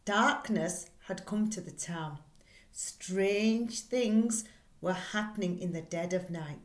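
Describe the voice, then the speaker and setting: Slow, echoey woman teacher reading aloud. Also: medium pitch. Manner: deliberate, expressive storytelling with questions to listeners. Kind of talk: read-aloud lesson for pupils, reading and explaining a children's book. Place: small plain indoor room. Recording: echoey, roomy indoor recording.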